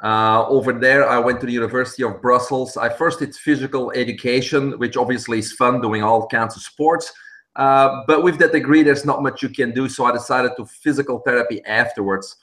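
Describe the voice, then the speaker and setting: A man's voice: medium pitch, fairly quick, fairly bright tone. A middle-aged man from Belgium with a Belgian accent, answering interview questions and explaining things plainly, step by step. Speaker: guest, stem cell clinic head.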